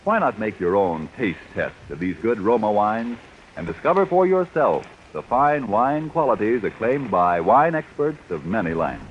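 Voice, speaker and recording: A man's voice: fairly low pitch, very animated, moderate pace. A man reading a scripted sponsor advertisement as a 1940s radio announcer, polished and formal. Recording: muffled, noisy vintage radio recording.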